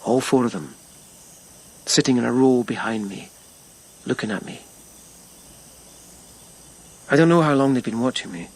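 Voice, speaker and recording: A reserved, thoughtful police lieutenant of ancient Japan. His voice is mid-pitched and husky. He tells things reflectively, in short halting phrases, in a naturalistic radio drama style. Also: lively intonation. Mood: wistful, reflective.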